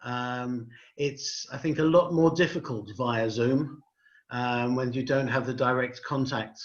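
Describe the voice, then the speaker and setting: Man, host, polite and hesitant, flat monotone delivery, dull video call sound. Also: mid-range voice, a little slow. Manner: polite, hesitant, many ums. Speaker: host, rabbi. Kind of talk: closing thanks at an online event.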